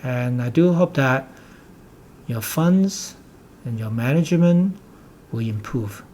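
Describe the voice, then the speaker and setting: An older man with a Hong Kong accent, a native Cantonese speaker, lectures on investing at a middle pitch. He drives his points home bluntly and repeats his warnings again and again. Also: expressive, slow pace, mellow, slight background noise. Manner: emphatic and repetitive, with blunt warnings. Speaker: investment commentator. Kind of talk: lecturing market commentary.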